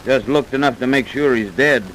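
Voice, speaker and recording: An actor playing a plain-spoken, sceptical police officer with folksy speech in an old radio drama. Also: male voice, medium pitch, moderate pace, fairly bright tone, lightly acted, poor audio. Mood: sceptical, matter-of-fact. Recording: hissy old radio recording.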